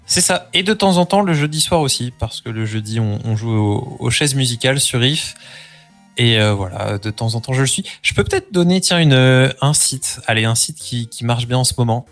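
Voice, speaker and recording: A man's voice: mid-range voice, animated, moderate pace, fairly bright tone. A man talking casually as a guest on a podcast. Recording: music playing behind the voice. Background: music.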